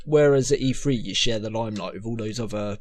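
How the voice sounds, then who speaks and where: A man with a fairly low voice. He speaks as a podcast co-host in casual panel chat, informal and opinionated about games. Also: fast talker.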